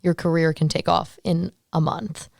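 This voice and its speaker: A woman of twenty-two hosting a podcast, chatting candidly and playfully on a studio boom mic. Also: little pitch movement, gravelly, darkish tone, no room sound. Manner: candid, playful, quick to laugh. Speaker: podcast host. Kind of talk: casual podcast chat. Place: small decorated podcast studio. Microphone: studio boom mic with headphones.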